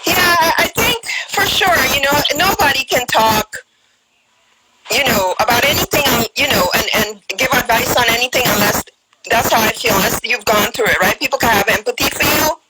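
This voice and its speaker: A woman's voice: fairly high pitch, animated, moderate pace, heavy background noise. A woman in her forties with a Guyanese accent, sharing a personal story on a live stream call in an earnest, hesitant way with many ums and restarts. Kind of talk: casual personal testimony.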